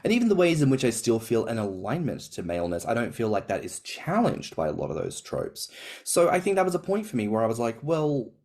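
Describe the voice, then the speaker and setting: A man's voice: mid-range voice, big pitch swings, a little fast, a little mellow. A man in a casual podcast chat, talking expressively with big swings and emphatic stresses. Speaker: podcast co-host or guest.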